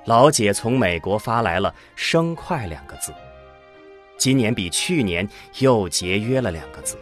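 Expressive, slow-paced male narrator. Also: fairly low pitch, soft background music. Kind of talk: scripted audio drama narration.

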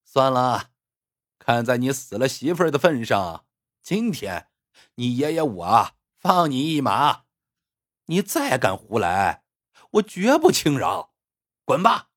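A storyteller voicing an arrogant, cruel martial-arts villain who sneers and threatens. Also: male voice, medium pitch, huge pitch swings, a little slow, a bit bright, breathy edge, full character voice. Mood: sneering.

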